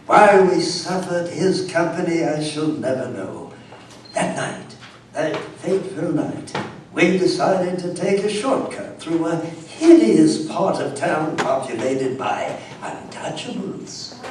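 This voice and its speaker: A man's voice: a little high, very animated, takes it slowly, a little mellow, a little hiss or hum. A man playing a haunted colonial Englishman who narrates a horror tale in a theatrical, suspenseful on live radio theatre. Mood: brooding, suspenseful. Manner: theatrical, suspenseful, dwells on words. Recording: echoey hall, distant mics, live audience.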